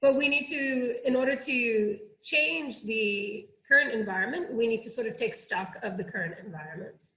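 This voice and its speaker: Higher-voiced American woman in an echoey room. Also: moderate pace, hardly any pauses, pushed and bright. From United States. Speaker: academic oceanographer presenting a programme. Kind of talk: online workshop presentation. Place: indoor room, online workshop. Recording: muffled, roomy online-call audio.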